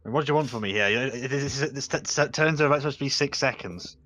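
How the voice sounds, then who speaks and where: A man's voice: mid-range voice, a little fast, bright, forceful tone, slight background noise. A man running a tabletop game as game master, explaining rules with frequent restarts and corrections. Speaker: dungeon master.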